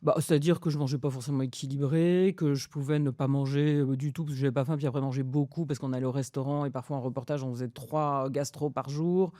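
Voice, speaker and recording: A woman's voice: extremely low pitch, fairly even intonation, fast talker, few pauses, dark tone, dry recording, studio quality. A woman in her fifties, a food journalist chatting casually as a guest, hesitant with many fillers and easy laughs. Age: 50s. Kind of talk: casual conversation.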